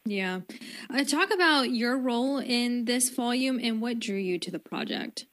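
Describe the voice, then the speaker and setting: A woman co-host asks her guest questions on a radio program with open enthusiasm. Her voice sits a little high, and she piles on praise in an effusive, eager way. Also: animated, unhurried, fairly bright tone. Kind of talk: radio interview.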